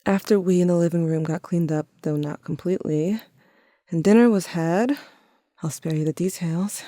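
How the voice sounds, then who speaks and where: A woman's voice: fairly low pitch, moderate pace, warm tone, no echo, clean studio sound, close to an everyday voice. A woman playing a tender, wry, grieving teenager who narrates an audio drama in a reflective, confiding way. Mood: somber. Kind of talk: intimate first-person audio drama narration.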